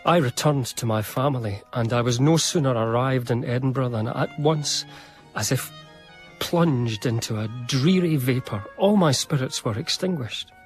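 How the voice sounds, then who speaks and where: A man acting a sentimental eighteenth-century gentleman biographer in a radio drama. He narrates reflectively in literary phrases at a medium pitch, earnest and melancholy. Music plays faintly behind him. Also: moderate pace, lightly acted. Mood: sombre. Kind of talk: dramatised first-person narration.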